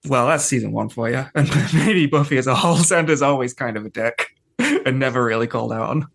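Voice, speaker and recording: A man's voice: mid-range voice, fairly quick, creaky, a bit bright. A man chatting casually and wryly as a co-host on a podcast. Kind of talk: casual chat about a TV show.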